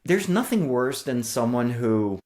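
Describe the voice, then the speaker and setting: A man's voice: mid-range voice, very animated, measured pace, a little mellow. A man co-hosting an enthusiastic podcast discussion about books, explaining things in an effusive way. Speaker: co-host and writer.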